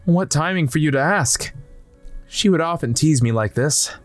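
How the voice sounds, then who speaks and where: A man's voice: fairly high pitch, lively intonation, moderate pace, dry recording, soft background music. A man narrating a manga story in the first person from a script.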